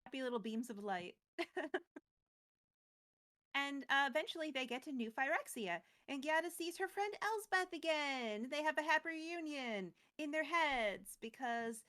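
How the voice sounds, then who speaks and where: A woman's voice: high voice, expressive, measured pace, fairly bright tone. A woman co-hosting a recap show, retelling a story casually with playful, wry, chatty asides. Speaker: co-host of a lore recap show.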